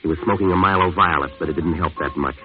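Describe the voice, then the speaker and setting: A man playing a hard-boiled, wisecracking jazz-age antihero in an old radio noir, deadpan, clipped and sardonic. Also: deep voice, fast talker, soft background music, natural voice. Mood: wry, world-weary. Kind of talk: 1950s radio noir narration and acting. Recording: tinny, band-limited vintage radio recording.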